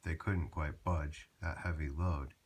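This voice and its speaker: A man narrating a children's picture book aloud in a measured, rhyming read-aloud manner. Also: rumbling low, steady tone, a little hiss or hum.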